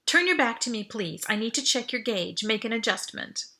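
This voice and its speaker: A protective teenage boy in an adventure audiobook, read by a woman, talks in a bright, clearly pitched voice. He sounds earnest and reassuring, covering his worry. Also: lively intonation, moderate pace. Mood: steady reassurance. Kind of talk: audiobook character voice.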